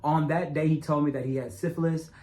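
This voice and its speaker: A man's voice: fairly high pitch, fairly even intonation, brisk, mellow, slight background noise. A man with a stutter and a noticeable speech impediment narrates his own documentary. He speaks in a loose, conversational way, addressing viewers directly. He repeats lines for emphasis. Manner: repetitive, emphatic, talks straight to viewers. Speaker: self-made documentary narrator. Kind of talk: casual direct-address monologue.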